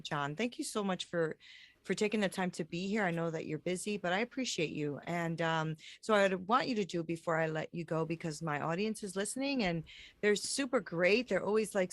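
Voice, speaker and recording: A woman's voice: medium pitch, dry recording. A woman hosting a podcast interview, encouraging her guest with frequent short affirmations. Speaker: podcast host. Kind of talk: casual interview talk.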